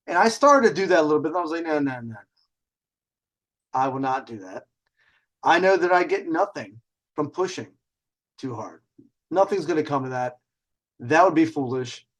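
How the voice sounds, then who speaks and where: A male host talks solo in a casual, storytelling style. His voice sits a bit higher than most men's, and he carries himself with the swagger of a self-styled showman. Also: lively intonation, moderate pace, pauses often.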